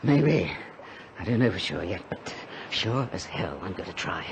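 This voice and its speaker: A gruff determined castaway in a radio play, deep voice, lots of background noise. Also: male voice, sing-song, moderate pace. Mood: excited, commanding. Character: gruff, desperate but determined male castaway adrift at sea. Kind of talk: radio drama acting. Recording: noisy radio drama recording.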